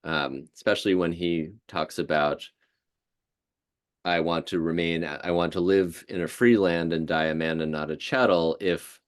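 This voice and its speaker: A man giving a considered, hesitant explanation as a guest lecturer answering questions after a talk. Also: deep voice. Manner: thoughtful, hesitant, measured. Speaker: guest speaker, historian.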